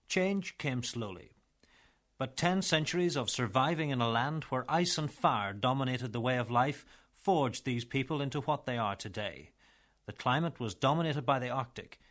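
A man presenting a documentary, narrating in a measured, formal way and interviewing a local woman. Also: medium pitch, fairly bright tone.

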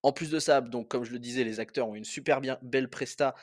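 A man's voice: mid-range voice, steady tone, quick pace, dry recording, studio quality. A young man hosting a podcast, reviewing a film in a casual, rambling way with many fillers and restarts. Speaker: podcast host.

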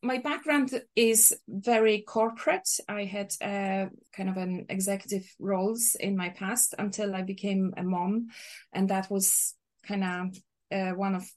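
A woman's voice: mid-range voice, steady tone, measured pace. Polish woman speaking thoughtfully. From Poland. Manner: thoughtful, hesitant, many uhs. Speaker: guest, sewing teacher. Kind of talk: interview answers.

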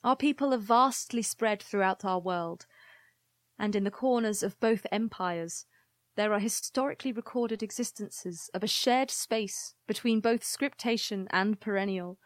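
A woman playing a grave, weary historian of a plant people, giving formal, measured testimony in an audio drama. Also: mid-range voice, no room sound, close to an everyday voice. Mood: solemn, measured.

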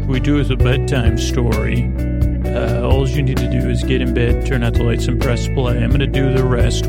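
A man with a creaky voice hosting a sleep podcast, speaking in a meandering, halting, stammering bedtime monologue that drifts into tangents. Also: medium pitch, a little mellow, dry recording, clean studio sound. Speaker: podcast host. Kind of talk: rambling bedtime monologue to help listeners sleep.

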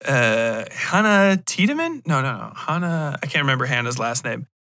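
A man's voice: mid-range voice, sing-song, normal speed, bright, forceful tone, no echo, studio quality. An American man hosting a casual solo podcast, rambling through a review in a blunt, irreverent, profane way. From United States. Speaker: podcast host.

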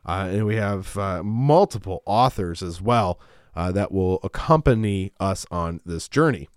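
Smooth low-voiced pastor hosting a podcast. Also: male voice, unhurried, no echo, studio quality. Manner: relaxed, rambling with many fillers and restarts. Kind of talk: podcast Bible teaching. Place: small home studio.

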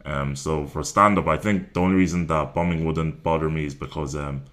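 A young man with an extremely low, deep voice talks loosely about his comedy career. He rambles a little, with frequent ums and self-corrections, in a relaxed conversational way. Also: a little fast. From Nigeria and Ireland. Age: 20s. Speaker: stand-up comedian guest. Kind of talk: casual interview chat.

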